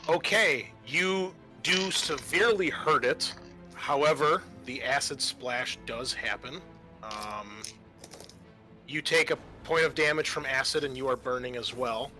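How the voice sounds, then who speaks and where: A man acting as game master for a tabletop role-playing game, explaining the action and rules in a halting way. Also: mid-range voice, bright, forceful tone, faint music behind.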